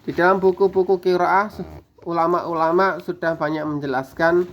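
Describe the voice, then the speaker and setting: A man teaching a scripture lesson, chanting the verses slowly and then explaining them to viewers he calls friends. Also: a little high, level tone, slight background noise. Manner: slow drawn-out recitation, then quick explaining. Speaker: religious teacher. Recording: dull lo-fi recording.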